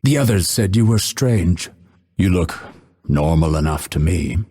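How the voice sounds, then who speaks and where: Game assassin: low, expressive delivery, aloof and scholarly, haughty formal phrasing, husky edge. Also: male voice, exaggerated voice. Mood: aloof, wry. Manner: measured, formal, haughty, dryly witty. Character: proud, scholarly male assassin, aloof and coolly menacing. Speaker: voice actor. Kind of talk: video game character voice lines.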